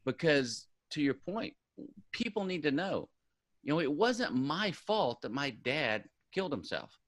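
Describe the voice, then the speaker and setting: A man's voice: on the high side, sing-song, a little slow, a bit bright, no room sound, studio quality. A man hosting an interview, talking in an earnest and emphatic way. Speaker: show host. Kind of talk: conversational interview.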